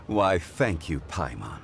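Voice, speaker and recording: Game sage: dignified consultant, courteous and measured, deep voice with plenty of inflection. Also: male voice, creaky, noisy background, full character voice. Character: dignified, wise, composed gentleman consultant, once mighty. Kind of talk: video game cutscene acting. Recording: background music.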